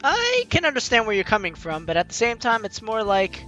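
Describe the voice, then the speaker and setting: Female let's-play host, bright lively voice, swings between reading aloud and chatty reactions, faint music behind. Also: a little high, brisk. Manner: animated, chatty reactions to the story. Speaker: gaming channel host. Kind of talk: casual let's play commentary, reading game text aloud. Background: game music.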